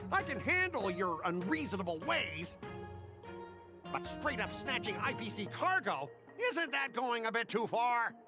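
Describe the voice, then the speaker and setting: Shrill, blustering cargo worker. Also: male voice, big pitch swings, unhurried, music in the background, exaggerated voice. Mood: defiant. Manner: indignant, blustering, emphatic. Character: irate, stubborn male cargo-company worker, loud complainer. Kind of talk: video game voice acting.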